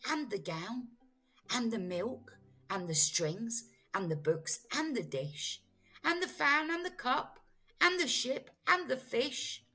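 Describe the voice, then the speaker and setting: Slow, husky woman reading a storybook. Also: fairly high pitch, sharp tone. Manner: deliberate, dramatic phrasing. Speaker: teacher reading stories to children. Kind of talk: expressive children's storybook read-aloud. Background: music.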